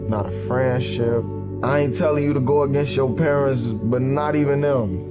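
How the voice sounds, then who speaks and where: A man with a medium-pitched voice gives a blunt, slangy pep talk. Loud guitar music plays over him throughout. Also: moderate pace. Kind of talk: casual motivational pep talk.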